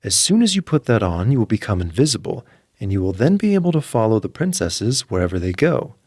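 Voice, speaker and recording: A man plays a kindly old woman from a storybook, assured and brisk in her advice. The voice has a slightly husky edge and a lively rise and fall, recorded close and dry. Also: on the low side, no room sound. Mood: helpful, advising. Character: kindly old woman giving wise counsel.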